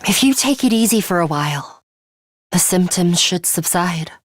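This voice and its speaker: A woman voicing a coolly pragmatic, blunt young female fighter in a video game, speaking in a measured, serious, clipped way. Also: lowish voice, expressive, dry recording, clean studio sound. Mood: calm, clinical. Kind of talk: English video game voice acting.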